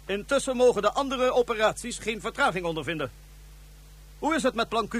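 A calculating ruler speaks in a cool, commanding way in a radio play. His voice is rather high and a little husky. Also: lively intonation, fairly quick, a bit bright. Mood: scheming. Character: scheming male ruler, smooth and calculating. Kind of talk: 1970s radio drama acting.

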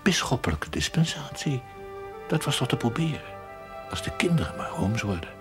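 An actor playing a sardonic, teasing inner voice in a television drama, mocking and knowing. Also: male voice, medium pitch, big pitch swings, moderate pace, music in the background, close to an everyday voice. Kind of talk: Dutch television drama acting.

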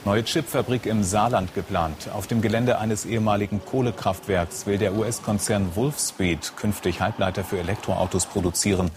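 A man's voice: lowish voice, fairly even intonation, moderate pace, hardly any pauses, background noise. A man with a standard German accent reading a formal, scripted news bulletin as an anchor.

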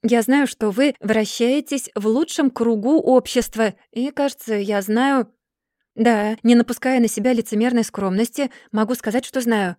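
A woman's voice: a little high, moderate pace, a little mellow, dry recording, clean studio sound, natural voice. A woman voicing a haughty society lady who scolds her husband in a cool, superior way, in a storybook reading. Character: haughty cold society lady, a rich man's wife.

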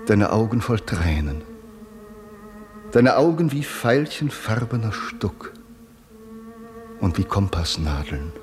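An actor playing a solemn, entranced poet who recites incantatory verse in measured phrases for a radio drama. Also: male voice, low-pitched. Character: solemn male lover-poet chanting surrealist verse, entranced.

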